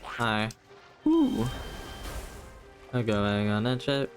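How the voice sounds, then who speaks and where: Slow, low-voiced man streaming games. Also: wildly swooping pitch. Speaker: video game streamer. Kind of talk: casual live gameplay commentary. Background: game music.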